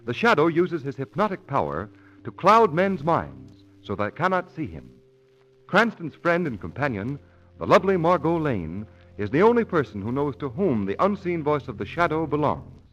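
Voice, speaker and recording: A dull vintage radio recording of a solemn mystery announcer. His voice is fairly high with sweeping, dramatic inflection. He narrates in a measured, portentous old-time style. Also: big pitch swings, a bit bright. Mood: grand.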